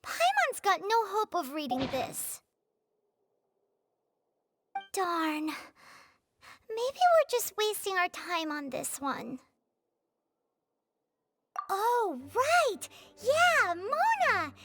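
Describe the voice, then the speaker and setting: A woman voicing a tiny, chirpy, excitable childlike fairy companion in a video game, with a squeaky voice. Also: drawn-out words, long pauses, a little hiss or hum, heavily acted. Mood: disappointed. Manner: excitable, exclaiming, refers to self in third person. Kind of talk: video game character acting. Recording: music behind the voice.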